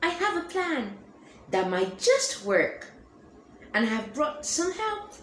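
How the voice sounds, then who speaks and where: Woman voicing a gentle, kindly spider lady. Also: high-pitched, big pitch swings, slow, roomy sound, a little hiss or hum, full character voice. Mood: encouraging. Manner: soft, gentle. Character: gentle kind female spider.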